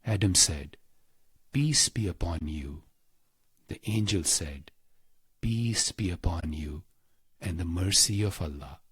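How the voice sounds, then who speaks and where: A man with a husky, breathy voice guides a scripted meditation in short, deliberate phrases, solemn and devotional. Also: low voice, animated, a bit bright, no echo. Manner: slow, deliberate, pausing between short phrases.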